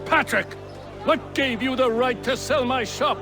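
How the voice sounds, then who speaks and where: High-pitched, indignant tradesman. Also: a little slow, music in the background. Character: indignant, excitable wronged tradesman. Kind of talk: video game cutscene acting.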